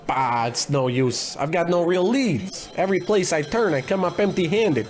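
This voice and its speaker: Casting: male actor as oblivious gumshoe, higher-pitched voice, grumbling and self-important, old-time radio detective spoof. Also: lively intonation, moderate pace, lightly acted. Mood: blustery, oblivious. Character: bumbling, blustery, gluttonous male private investigator. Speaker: private detective in a radio play. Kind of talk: scripted comedy in old radio detective style.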